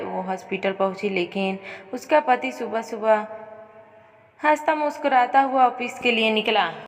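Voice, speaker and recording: Woman, melodramatic story narration, very slow delivery, long echoing tail. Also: a little high. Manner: melodramatic, reads speaker names before dialogue. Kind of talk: dramatic scripted story narration in Hindi. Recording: long reverb on voice.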